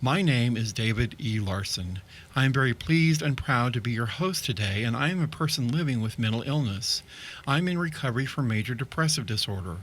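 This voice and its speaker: Guy hosting local radio talk, mid pitch, upbeat and chatty, bit of hiss behind. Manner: scripted opening, then chatty and upbeat with the guest. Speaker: radio show host. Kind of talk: community radio interview.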